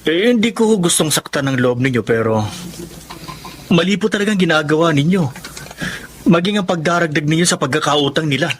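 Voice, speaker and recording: A man's voice: on the high side, animated, brisk, long pauses, a little hiss or hum, close to an everyday voice. A man voicing a respectful young son who earnestly confronts his father about mistreating the maid. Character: young rich son, respectful but firm.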